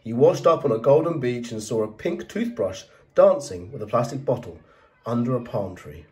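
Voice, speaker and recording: Expressive man reading a children's story. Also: medium pitch, moderate pace, recorded from a distance.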